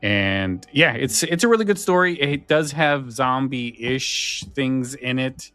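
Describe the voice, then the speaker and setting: A man co-hosting a podcast in a casual, informal and somewhat halting way. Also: medium pitch, lively intonation, bright, forceful tone, clear, resonant tone, no echo, faint music behind. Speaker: podcast co-host.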